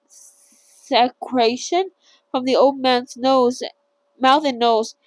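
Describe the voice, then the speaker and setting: A woman's voice: high voice, a little mellow, no echo. A woman hosting a casual read-along of a novel, chatty and stumbling now and then over hard words. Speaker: channel host reading a novel aloud.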